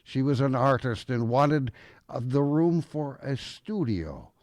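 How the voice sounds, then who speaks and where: A man playing a gruff old grandfather with a softening heart in an audio drama, speaking in a folksy, halting way. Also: mid-range voice, lively intonation, no echo, clean studio sound. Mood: gentle, gruff. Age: older.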